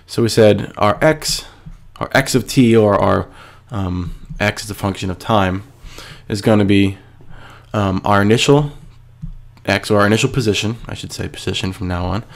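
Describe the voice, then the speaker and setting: Guy teacher with a cold, stuffy, gravelly lowish voice, patient step by step screencast. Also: moderate pace. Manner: patient, step-by-step, asks and answers himself. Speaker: physics teacher. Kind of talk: screencast lesson explaining a worksheet.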